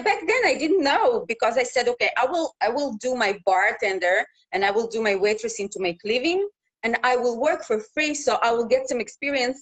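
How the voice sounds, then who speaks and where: A woman in her thirties, a news reporter from Russia, speaking English as a second language with a Russian accent, talking in a halting way with many fillers and restarts over a video call. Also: on the high side, a bit bright, poor audio. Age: 30s. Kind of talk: casual interview conversation.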